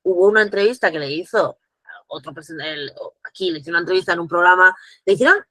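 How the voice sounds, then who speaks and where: A woman, speaking as guest at a virtual conference, animated and full of restarts. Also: on the low side, fast talker, dry recording. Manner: animated, acts out quoted dialogue, frequent restarts. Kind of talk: virtual conference talk, answering questions. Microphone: video call.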